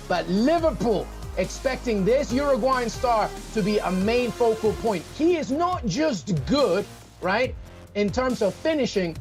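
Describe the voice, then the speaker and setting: A man with a high, bright-sounding voice breaks down soccer matches in a studio. He talks with energy, stacks up rhetorical questions and leans hard on key points. Faint music plays behind him. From Peru. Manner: animated, emphatic, rhetorical questions. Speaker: soccer analyst. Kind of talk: studio sports analysis.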